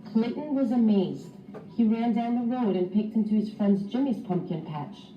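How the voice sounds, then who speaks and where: A woman teacher reading a children's storybook aloud in an expressive, instructive way for young pupils. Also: fairly high pitch, moderate pace, faint music behind. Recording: roomy, distant mic, slightly dull.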